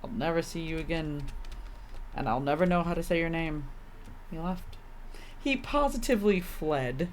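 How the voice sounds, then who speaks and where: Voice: medium pitch, lively intonation, normal speed, long pauses, a little hiss or hum. A game streamer giving casual, grumbling commentary with offhand swearing while playing. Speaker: livestreamer playing a video game.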